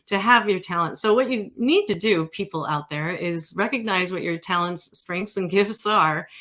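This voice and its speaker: A woman's voice: lowish voice, moderate pace, a bit bright. A woman hosting a casual interview chat in an informal, playful manner. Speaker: interview host.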